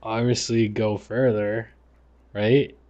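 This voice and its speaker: A man's voice: on the low side, little pitch movement, slow pace, darkish tone, muffled recording. A man chatting casually and slangily as he livestreams a game, half talking to himself. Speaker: livestreamer playing a text adventure game. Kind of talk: casual gaming stream chat.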